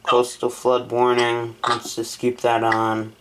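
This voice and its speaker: Guy doing a casual setup vlog, reads stuff out slowly n thinks aloud, noisy clicky audio. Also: mid-range voice, completely flat delivery. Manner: thinking aloud, reading menu items then deciding. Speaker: vlogger setting up a weather radio. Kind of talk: casual unboxing and setup chat.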